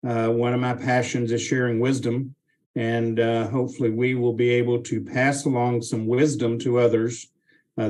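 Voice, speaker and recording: A man giving a formal, unscripted speech of thanks in a gracious, hesitant, measured manner. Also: medium pitch, a little mellow. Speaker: speaker giving closing thanks.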